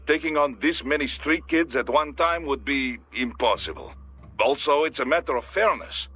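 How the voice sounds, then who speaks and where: An actor playing a stern, pragmatic male mission adviser in a video game, measured and analytical in his delivery. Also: medium pitch, bright, forceful tone, breathy edge. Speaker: mission support. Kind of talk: English video game voice acting.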